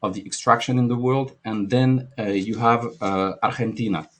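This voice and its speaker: A man from France with a French accent, giving an informal market analysis lesson in a halting, repetitive way with frequent check-in questions. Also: medium pitch, steady tone, fairly bright tone. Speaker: trading instructor and market analyst.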